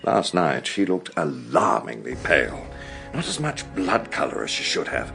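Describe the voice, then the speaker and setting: A courteous British doctor in a radio play, asking careful clinical questions. His gravelly voice rises and dips expressively, with music clearly audible behind it. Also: very deep voice, huge pitch swings, fairly bright tone, full character voice. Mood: puzzled, thoughtful. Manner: polite, clinical, measured questioning. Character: courteous, matter-of-fact male family doctor, quietly puzzled. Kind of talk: radio drama acting.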